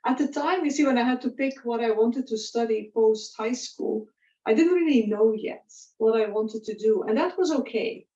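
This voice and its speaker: Woman, middle-aged, casual halting delivery, somewhat far from mic, muffled audio. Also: fairly high pitch, mellow. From Belgium. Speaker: guest, corporate professional. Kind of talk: casual personal career story. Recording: roomy, distant, dull lo-fi audio.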